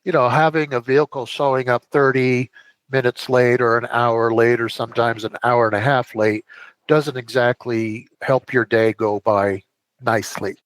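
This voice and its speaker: American man advocate in an interview clip, average pitch, plain talk, no room echo. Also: little pitch movement, moderate pace. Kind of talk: plain-spoken interview soundbite.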